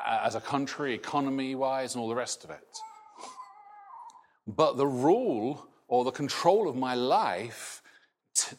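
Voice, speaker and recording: A middle-aged man raised in Scotland, with a softened Scottish accent, preaching a sermon in a slow, halting way with many restarts. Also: mid-range voice. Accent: Scottish, largely softened. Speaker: pastor.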